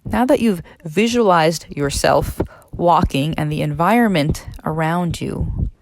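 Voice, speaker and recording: A woman narrating a guided meditation as an instructor, in a measured, instructional way with pauses between phrases. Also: low-pitched, slight background noise. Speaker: neurologist leading a guided meditation.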